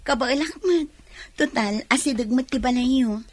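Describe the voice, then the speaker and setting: An actress playing an earnest, dreamy young woman with an Ilocano accent in a radio drama, soft and wistful with a breathy, husky voice. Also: high-pitched, unhurried, no room sound. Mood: earnest, hopeful. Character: earnest, dreamy young woman, simple rich man's daughter.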